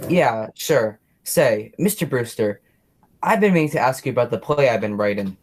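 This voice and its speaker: A friendly, slightly dim beat cop in a radio play, acted by an amateur performer. His talk is folksy and colloquial, at a middling pitch. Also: expressive, moderate pace, slight background noise, natural voice. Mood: affable. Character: friendly, dim policeman and would-be playwright. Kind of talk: radio play acting, high school theatre.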